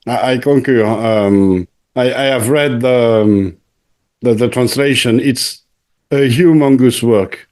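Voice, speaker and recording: Male host; very slow, halting delivery, warm dark tone. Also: mid-range voice. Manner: hesitant, many fillers and restarts. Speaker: interview host. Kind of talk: interview conversation.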